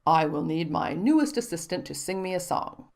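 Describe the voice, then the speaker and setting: Woman voicing a low, playful father. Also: expressive, normal speed, gravelly. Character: gentle middle-aged father, a toolmaker.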